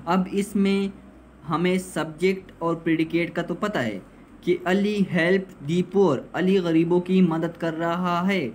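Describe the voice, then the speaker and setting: A man's voice: sits high, fairly even intonation, slow pace, a little hiss or hum. A man giving an explanatory grammar lesson as a teacher, repeating points and posing questions that he answers himself. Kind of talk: explanatory lesson, translating examples.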